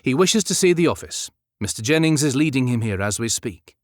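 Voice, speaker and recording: A man's voice: mid-range voice, lively intonation, brisk, no echo, studio quality, close to an everyday voice. Man voicing curt, anxious young clerk. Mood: urgent. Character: terse, anxious, industrious young male clerk.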